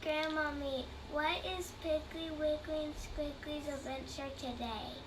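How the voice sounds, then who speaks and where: A woman's voice: high-pitched, level tone, a little slow, distant mic, background noise, voice pushed up. A woman voicing a small eager child who pleads for a story. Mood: pleading. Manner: pleading, repeating the question.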